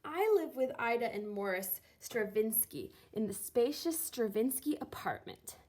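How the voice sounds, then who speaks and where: A woman reading a children's book aloud expressively, with theatrical, deliberate phrasing and drawn-out words. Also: a little high, animated, a little mellow. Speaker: reader of a children's picture book, teacher. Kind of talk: expressive storybook read-aloud.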